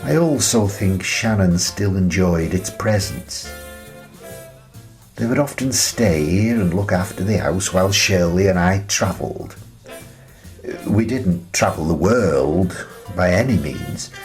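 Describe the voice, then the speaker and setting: A male actor playing a wistful retired teacher and grandfather in his sixties, telling his story in a reflective, measured radio play monologue with pauses between phrases. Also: low voice, lively intonation, soft background music, exaggerated voice.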